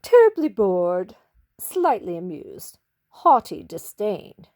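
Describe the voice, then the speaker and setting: A pampered female storybook cat, played by a woman, full of haughty self-admiration. The delivery is theatrical and very lively, leaping up in pitch. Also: slow. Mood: haughty disdain.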